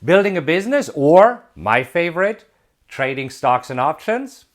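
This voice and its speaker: A man in his forties giving an emphatic motivational talk, asking rhetorical questions and building excitement. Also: on the high side, a little slow. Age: 40s. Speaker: self-help video presenter.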